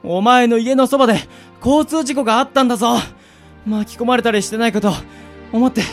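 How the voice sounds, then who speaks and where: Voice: medium pitch, a little fast, lightly acted. A performer playing a caring young male college friend in a radio drama, with blunt, casual boyish speech. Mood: worried. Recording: music bed under the voice.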